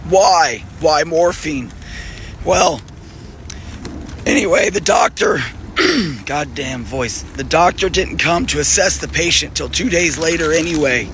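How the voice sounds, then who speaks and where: Hoarse, furious man. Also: a little high, animated, slow pace, a bit bright, slight background noise. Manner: angry, profane, frequent throat clearing. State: hoarse, losing his voice. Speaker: nurse. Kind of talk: direct-to-camera video appeal.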